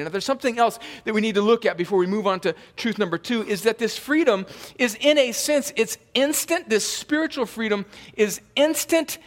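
A man's voice: very high voice, a bit bright. A male pastor preaching a sermon, chatty at times and then emphatic, sniffling with a slight cold. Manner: conversational preaching that rises to emphatic peaks. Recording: long hall reverb.

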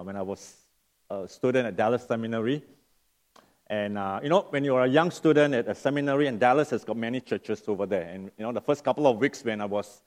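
A middle-aged man from Singapore, preaching as a guest. He sounds nasal from a lingering cough and speaks in a friendly, unhurried way, often stopping to rephrase. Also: fairly low pitch. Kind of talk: sermon.

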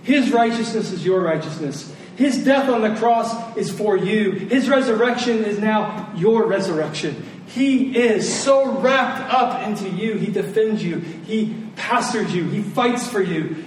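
A man preaching a sermon to a congregation, speaking emphatically with deliberate repetition and rhetorical questions. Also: sits high, lively intonation. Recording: very echoey room, distant mic, faint noise.

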